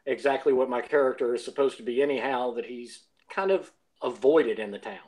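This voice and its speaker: Mid-pitched man, thoughtful podcast guest. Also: brisk, a little mellow, rough recording. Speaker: audio drama cast member, podcast guest. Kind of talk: casual group discussion.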